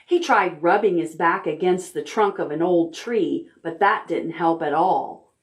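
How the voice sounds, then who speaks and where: A woman leading a preschool storytime as a children's librarian, talking slowly and warmly encouraging little kids as she reads and counts with them. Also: lowish voice, far from the mic. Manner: cheerful, slow and encouraging, talking to small children. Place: library room. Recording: roomy sound from away from the camera mic.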